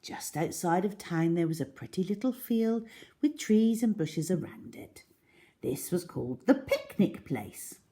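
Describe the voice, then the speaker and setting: A woman telling a children's story in an expressive, deliberate way with dramatic emphasis. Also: medium pitch.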